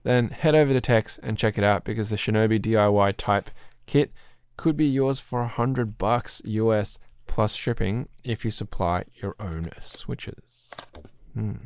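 A man hosting a podcast, chatting casually and rambling a little, clearing his throat often, his voice slightly throaty. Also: fairly low pitch, unhurried, a little mellow, dry recording. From Australia. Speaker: podcast host. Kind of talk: casual chat about keyboards.

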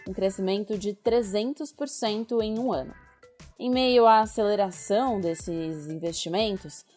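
A woman reporting and narrating a scripted radio news feature, measured, and speaking straight to the listener. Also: medium pitch, a little mellow. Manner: measured, addresses the listener directly.

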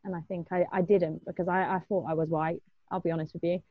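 Young female, English accent, slightly low pitch, informal personal recollection, narrow telephone-like audio. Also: level tone, quick pace. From England. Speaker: participant sharing personal experiences in a group discussion. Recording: thin phone-like band-limited sound.

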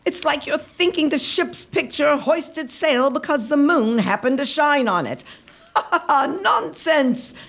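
A cantankerous but hearty old guardian aunt played by a woman from a comic gothic audio play. Her voice is high and unhurried, swooping widely as she teases and exclaims. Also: full character voice. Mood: scoffing amusement. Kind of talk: gothic comedy audio drama.

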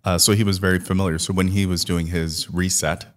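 A man's voice: low voice, flat delivery, brisk, big-room sound. A man who grew up in Guyana and Trinidad, easygoing and chatty as an interview guest, often checking in with "right?". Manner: easygoing storyteller, lots of "right?" and "you know". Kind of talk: casual interview, life story.

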